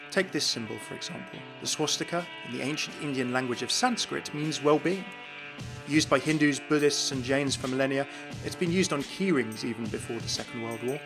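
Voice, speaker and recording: A man narrating scripted game lore as a video host, in measured, dramatic storytelling phrasing. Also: mid-range voice. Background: guitar music.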